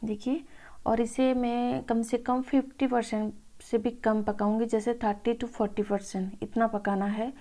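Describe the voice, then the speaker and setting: Female home cook for informal recipe voice-over; gravelly fry, fairly even pitch, mellow tone. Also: unhurried. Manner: addresses viewers as friends. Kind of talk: informal cooking tutorial voice-over.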